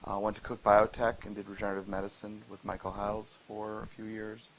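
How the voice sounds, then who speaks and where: A man's voice: fairly low pitch, little pitch movement, brisk, low-quality recording. A man in his forties, an industry scientist, introducing himself at a panel discussion. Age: 40s. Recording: faint hiss and noise.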